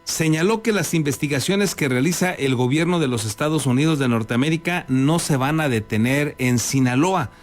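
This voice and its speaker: A man's voice: a little high, normal speed, few pauses. A man with a Mexican accent presenting scripted news as an anchor.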